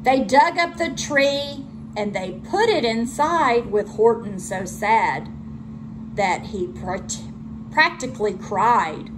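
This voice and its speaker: A woman narrating a children's storybook aloud in an expressive teacher's read-aloud style. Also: on the high side, takes it slowly, noisy background. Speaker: elementary school teacher reading aloud.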